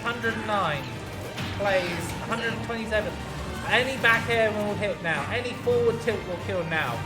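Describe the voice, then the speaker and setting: A hoarse man giving solo play-by-play commentary on a fighting game match, with quick analysis and dry self-mocking asides. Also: high voice. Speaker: esports commentator. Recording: echoey room with loud music. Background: loud music.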